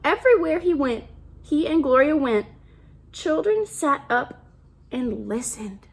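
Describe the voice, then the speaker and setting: A woman's voice: sits high, animated, unhurried, vocal fry. A woman reading a picture book aloud to children, expressively, with simple asides and questions about the pictures.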